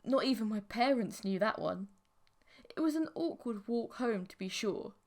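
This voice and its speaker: A woman with a fairly high voice narrates an audiobook. She reads scripted fiction in the first person, telling the story as its central character. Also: lively intonation, moderate pace, darkish tone.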